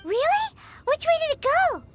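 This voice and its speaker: A woman's voice: extremely high pitch, big pitch swings, normal speed. A woman voicing a tiny, childlike, eager floating companion in a video game. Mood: eager, polite. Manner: speaks of herself in the third person. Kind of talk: animated game character acting. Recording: game dub over clear background music.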